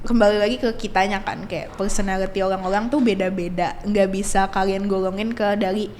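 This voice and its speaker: A woman's voice: mid-range voice, level tone, a little fast, darkish tone, a little hiss or hum. A teenage girl talking casually as a guest on a school podcast, chatty, with many fillers and frequent laughter. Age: teens.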